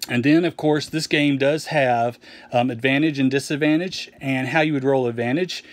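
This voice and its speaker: A man's voice: medium pitch, moderate pace, no echo. Guy explaining his rpg rules off the cuff, medium voice, dry sound, lots of ums. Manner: relaxed, frequent ums and restarts. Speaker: game publisher explaining rules. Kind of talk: casual off-the-cuff rules walkthrough. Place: indoors at a table with a rulebook.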